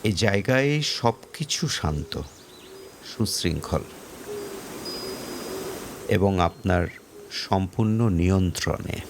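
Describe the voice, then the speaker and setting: Very slow, low-voiced man guiding relaxation. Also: lively intonation, noisy background. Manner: measured, pausing between short phrases. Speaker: guided relaxation narrator. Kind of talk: slow guided meditation narration. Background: faint music.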